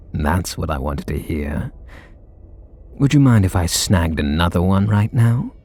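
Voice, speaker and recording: A man voicing a flustered, tender super villain in an ASMR roleplay, speaking softly and intimately. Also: lively intonation, slow, heavily acted. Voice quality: low gravelly husky voice. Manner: soft and intimate, bashful.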